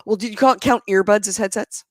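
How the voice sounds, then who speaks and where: A woman hosting a podcast in a casual chat, her voice hoarse and gravelly, talking in a chatty, animated way and laughing often. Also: medium pitch, fairly quick, dry recording, studio quality. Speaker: podcast host. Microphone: adjustable microphone close to the mouth.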